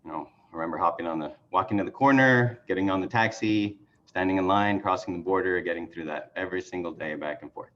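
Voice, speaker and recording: Deep-voiced man rambling on a video call. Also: a bit bright, highs cut off, a little hiss or hum. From Mexico. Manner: rambling, halting with many fillers and restarts. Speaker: party chair candidate. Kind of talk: casual conversational self-introduction.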